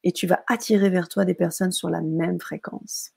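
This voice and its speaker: Gravelly, dark-voiced woman host. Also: on the low side, fairly even intonation, normal speed, no echo, clean studio sound. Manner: warm, enthusiastic, hesitant filler-heavy reading. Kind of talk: hosting a live stream, reading viewer comments.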